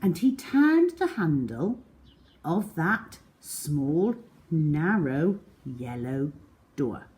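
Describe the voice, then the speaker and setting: Female children's storyteller, very unhurried, deliberate delivery with big swoops of pitch for a picture-book reading. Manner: slow, deliberate, expressive storytelling with drawn-out words. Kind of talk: children's storybook read-aloud.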